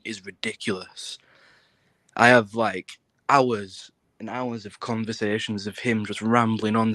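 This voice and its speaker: A man's voice: fairly low pitch, no room sound. A British man in casual conversation, talking in a blunt, insistent way and swearing freely. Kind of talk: casual chat.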